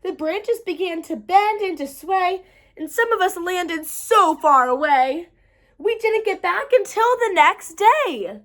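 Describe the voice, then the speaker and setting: High-pitched, animated woman reading a storybook. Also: slow. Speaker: storybook reader. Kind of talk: animated children's read-aloud.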